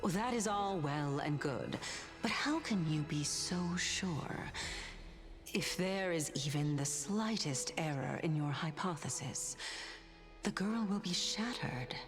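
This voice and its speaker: An actress playing an imperious, otherworldly judge of souls in a video game, speaking in a stately, formal, taunting way. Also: female voice, low voice, expressive, slow pace, over music, full character voice. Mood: probing. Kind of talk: scripted video game voice acting.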